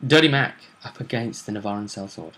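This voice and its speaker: A man hosting a tabletop role-play vidcast, casually discussing a fantasy tournament in a musing, deliberate way. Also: lowish voice, a bit bright.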